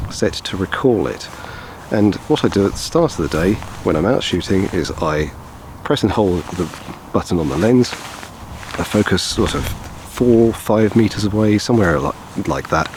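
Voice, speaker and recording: Low gravelly guy vlogging out in a wetland, lively up and down delivery, wind and distant chainsaws behind. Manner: explanatory, talks with his hands. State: tired. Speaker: wildlife photographer. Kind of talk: outdoor vlog tutorial. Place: outdoors on a grassy path by wetland. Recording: outdoor noise behind the voice. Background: chainsaws and traffic in the distance.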